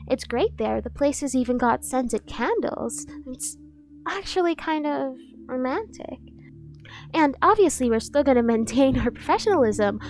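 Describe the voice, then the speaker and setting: A woman performs a devoted female bodyguard in an ASMR roleplay, her voice pitched high. She coaxes and insists with a professional front that barely hides a dorky obsession. Faint music plays behind her. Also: a little mellow. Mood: eager, coaxing. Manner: persuasive, coaxing, insistent.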